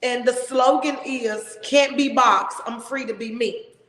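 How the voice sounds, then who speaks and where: A woman hosting a casual talk show, with a big, loud voice and an emphatic, expressive way of talking. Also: on the high side, unhurried. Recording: echoey room with long reverb.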